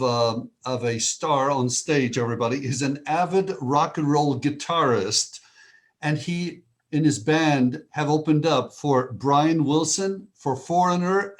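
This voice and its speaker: A man's voice: a little high, animated, measured pace. Eager man hosting a video call. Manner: warm praise, eager questions. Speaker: host. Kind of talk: enthusiastic introductions on a video call.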